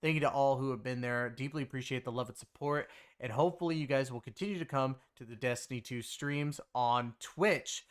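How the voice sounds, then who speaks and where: A man's voice: medium pitch, brisk, hardly any pauses, clean tone. A man giving a casual, rambling recap of a video game story, with an emphatic, conversational delivery. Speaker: game streamer and story reviewer.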